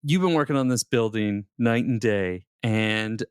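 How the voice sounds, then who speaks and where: A man's voice: on the low side, a little slow, clean tone, no room sound, clean studio sound. A man co-hosting a podcast, talking casually in an emphatic, coaching way, with some swearing. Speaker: podcast co-host. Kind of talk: casual opinionated podcast talk.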